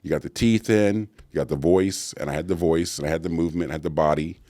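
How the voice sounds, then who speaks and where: A middle-aged man, an actor and voice actor, telling stories in an interview with a deep, resonant voice and acted-out quotes. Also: steady tone, fast talker, mellow, dry recording, studio quality. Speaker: actor and voice actor guest. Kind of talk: storytelling interview answers.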